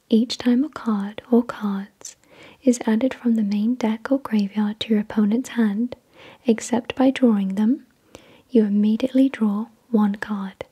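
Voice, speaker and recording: A woman whispering softly and breathily in ASMR style, reading aloud in a deliberate, measured way. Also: on the high side, husky. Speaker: ASMR video creator and host. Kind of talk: soft-spoken ASMR, reading card text aloud.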